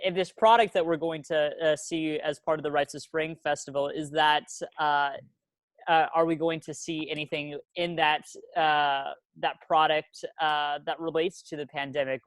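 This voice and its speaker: A man asks long, winding interview questions, stopping often on "uh" as he finds his words. His voice sits a little higher than most men's. The recording is close and dry, with no echo around it. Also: a bit bright, clean tone. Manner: halting, frequent "uh" fillers.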